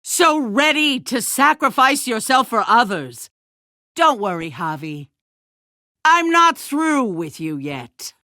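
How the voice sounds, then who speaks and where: A woman playing a calculating, ruthless leader of a survivor town in a video game, speaking in a measured, condescending way with controlled menace. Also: fairly high pitch, very animated, pushed and bright, dry recording, studio quality, exaggerated voice. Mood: taunting, commanding. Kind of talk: dramatic video game acting.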